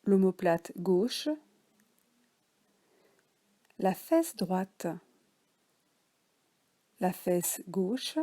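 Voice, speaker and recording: A French woman guiding a yoga nidra relaxation session, speaking softly with some words whispered. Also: fairly low pitch, expressive. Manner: slow, soft, partly whispered guidance. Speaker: relaxation guide.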